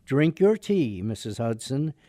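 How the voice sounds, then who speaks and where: A man playing a polite, soft-hearted debt collector in a radio drama, reluctant, hesitant and earnest. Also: mid-range voice, sing-song, measured pace. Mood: uneasy, polite.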